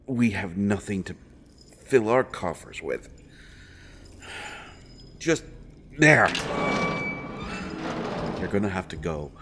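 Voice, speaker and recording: Man acting low-voiced, earnest royal interrogator. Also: a bit bright, slight background noise, full character voice. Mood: urgent, protective. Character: earnest, protective royal interrogator turned secret rescuer of prisoner. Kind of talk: dramatic fantasy audio roleplay addressed to the listener.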